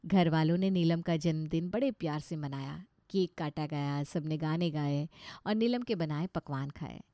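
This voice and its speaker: A woman's voice: sits low, moderate pace, dark tone, dry recording. A woman storyteller reading scripted narration of a moral tale.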